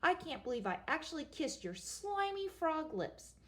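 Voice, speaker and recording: A woman's voice: sits high, very animated, moderate pace, fairly bright tone, voice pushed up. A woman playing a nagging princess. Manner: complaining, whining. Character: nagging, whiny young princess.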